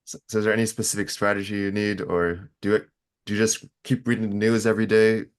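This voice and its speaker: A man hosting a podcast, asking questions casually in a low-key, laid-back manner. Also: little pitch movement, fast, smooth. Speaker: podcast host. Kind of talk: casual interview chat.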